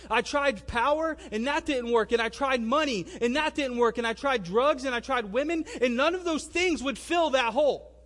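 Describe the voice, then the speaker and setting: High-pitched young man giving a testimony. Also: a bit bright. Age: 20s. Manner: conversational and colloquial, acting out remembered dialogue. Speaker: speaker giving a personal testimony. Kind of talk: personal testimony storytelling to an audience.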